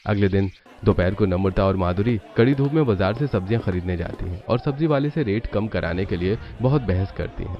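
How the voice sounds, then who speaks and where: A man's voice: fairly low pitch, expressive, fairly quick, soft background music. A man narrating a scripted cartoon family story as the storyteller. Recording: dull lo-fi narration, faint noise.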